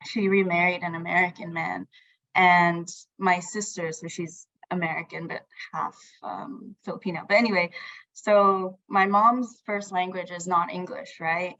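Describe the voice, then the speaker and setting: A woman's voice: fairly low pitch, a bit bright, no echo, dull audio. A woman whose first language is Tagalog, talking casually in a group discussion, rambling and thinking aloud with plenty of "like".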